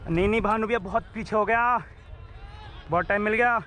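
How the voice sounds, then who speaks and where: A young man with a very high voice shouts quick encouragement across an open cricket ground. His calls come through a body-worn camera with clear outdoor noise behind them. He keeps urging his teammates on between deliveries. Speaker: cricket player filming from the field. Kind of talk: shouted on-field cricket chatter. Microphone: body-worn action camera.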